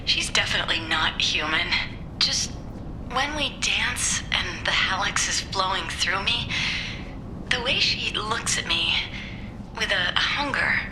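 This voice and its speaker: A woman's voice: medium pitch, poor audio. An actress playing an infatuated, insecure young woman in a video game, confiding dreamily in her diary. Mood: wondering.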